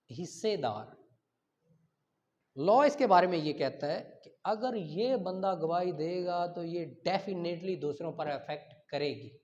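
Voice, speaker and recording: High-pitched Pakistani man lecturing. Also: darkish tone. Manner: explanatory, asks and answers own questions, checks understanding; switches between Urdu and English legal terms. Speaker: law lecturer. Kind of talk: classroom lecture with examples. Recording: dull lo-fi sound.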